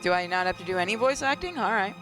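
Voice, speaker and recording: Slightly hoarse gamer with joking asides. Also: mid-range voice, animated, quick pace, over music. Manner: joking, self-mocking asides. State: slightly hoarse, getting sick. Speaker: gaming YouTuber. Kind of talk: let's play commentary with read-aloud narration.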